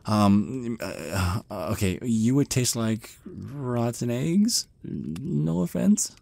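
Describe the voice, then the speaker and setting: A man's voice: medium pitch, sing-song, drawn-out words, creaky, mellow, no echo, heavily acted. A man playing a shy, nervous male vampire in an ASMR roleplay, stammering and hesitating apologetically as he talks. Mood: flustered, apologetic. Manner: stammering, hesitant, flustered, rambling. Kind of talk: ASMR roleplay monologue, conversational.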